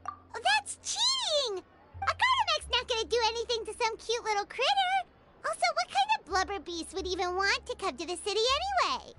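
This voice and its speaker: A woman voicing a tiny, excitable and nosy female companion in a video game, chatty and quick to question things. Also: very high voice. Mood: indignant. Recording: game audio with steady background noise.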